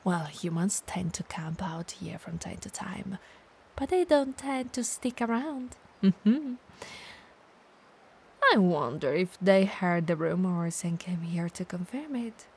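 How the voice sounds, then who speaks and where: Woman voicing a female vampire, playful, clumsy, bloodthirsty, soft husky voice, slow delivery, audio roleplay monologue. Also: on the low side, expressive, exaggerated voice. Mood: excited, sneaky. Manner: theatrical muttering to herself, breathy asides.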